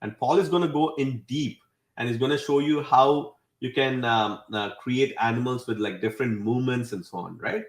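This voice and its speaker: Man, webinar host, casual tutorial, medium pitch, frequent "right?" tags, echoey recording. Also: normal speed. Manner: often tags statements with "right?". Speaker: webinar host demonstrating software. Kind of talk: casual screen-share tutorial.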